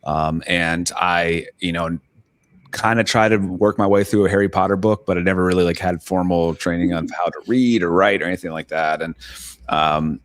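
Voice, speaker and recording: Deep-voiced male podcast host. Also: fairly even intonation, moderate pace, no room sound, studio quality. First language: Swiss German. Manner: casual, filler-heavy, friendly questions. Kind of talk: interview conversation.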